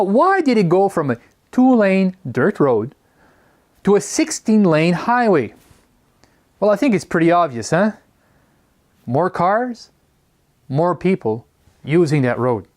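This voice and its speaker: Lively man lecturing. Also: on the high side. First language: French. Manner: lively, stretches and stresses key words. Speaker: teacher trainer.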